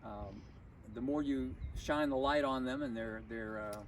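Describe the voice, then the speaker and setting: An older man speaking out as a concerned citizen, emphatic and deliberate, in an informal impassioned style. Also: mid-range voice, wildly swooping pitch, creaky. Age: older, 60s or above. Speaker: concerned local citizen at a protest. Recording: outdoor, distant mic, loud traffic noise. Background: vehicles and outdoor ambience.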